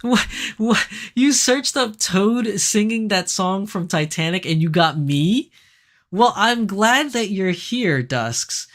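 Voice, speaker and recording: Sick male livestream host, slightly high and raspy, chatty and animated, reads out chat comments. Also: takes it slowly. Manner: chatty, animated, laughs easily, frequent fillers. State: sick, raspier than usual.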